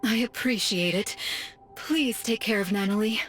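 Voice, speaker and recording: A woman's voice: medium pitch, moderate pace, faint music behind, natural voice. A woman playing a serious, composed character in an anime-style video game. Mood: concerned, composed. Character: serious, composed woman discussing missing-person cases.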